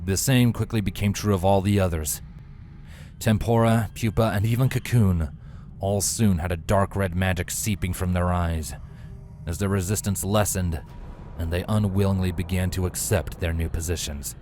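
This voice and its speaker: A man reading scripted fantasy fiction as a narrator, in a measured, solemn, dramatic way. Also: deep voice, fairly even intonation, a little mellow, slight background noise. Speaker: narrator, fan fiction reader.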